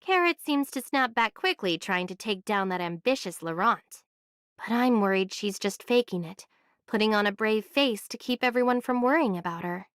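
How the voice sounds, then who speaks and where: A woman voicing a caring, thoughtful, soft-hearted young woman in an anime game. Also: medium pitch, expressive, normal speed, no echo, clean studio sound, close to an everyday voice. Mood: concerned, tender.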